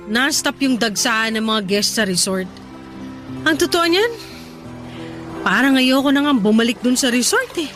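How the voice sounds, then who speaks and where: A woman's voice: on the high side, lively intonation, measured pace, long pauses, fairly bright tone, soft background music. A woman with a Filipino accent playing a sassy, ambitious, restless young resort worker in a radio drama, speaking emphatically. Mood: weary complaining.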